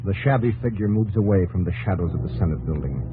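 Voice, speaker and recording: Deep-voiced male radio drama narrator. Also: expressive, fast talker, heavy background noise. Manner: clipped, dramatic, wry. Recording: dull old radio broadcast, music behind.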